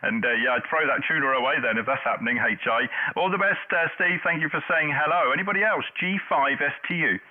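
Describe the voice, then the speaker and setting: A man's voice: fairly high pitch, quick pace, few pauses. A middle-aged man running an amateur radio net, chatting in call signs and signal reports. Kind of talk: radio contact chat in call-sign jargon. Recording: narrow, phone-like dull audio.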